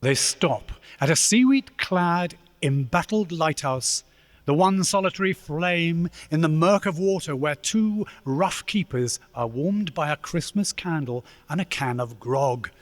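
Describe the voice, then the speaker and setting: High-voiced man narrating dramatically. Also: measured pace, dry recording, clean studio sound. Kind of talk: scripted dramatic storytelling. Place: live on stage in a church.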